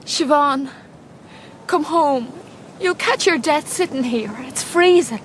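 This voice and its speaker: Very high-voiced, pleading Irish sister. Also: female voice, expressive, slow, slight background noise. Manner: urgent, firm, pleading. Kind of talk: radio drama acting.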